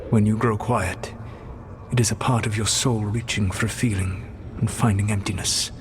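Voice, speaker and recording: An actor playing a grave, calculating ancient elven god who speaks formally and with measured solemnity. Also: male voice, lowish voice, fairly even intonation, background noise, close to an everyday voice. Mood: sorrowful. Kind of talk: fantasy game dramatic dialogue.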